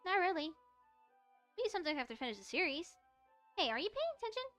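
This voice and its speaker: A woman puts on a feisty anime schoolgirl, huffy and defensive. The voice is high and bright, swinging emphatically as in a visual novel. Music plays behind it. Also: very animated, quick pace, full character voice. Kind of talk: visual novel character read aloud.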